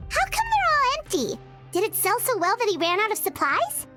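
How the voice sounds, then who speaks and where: A woman voicing an excitable tiny floating girl companion in a video game, speaking in eager exclamations. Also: extremely high pitch, normal speed, soft background music, heavily acted. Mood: puzzled.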